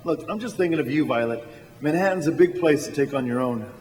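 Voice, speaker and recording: An actor playing an earnest, anxious small-town everyman in a radio drama, talking haltingly and repeating his words. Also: fairly high pitch, expressive, a little mellow, close to an everyday voice. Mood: earnest, kindly. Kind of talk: stage radio drama acting. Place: large church hall. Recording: echoey hall with long reverb.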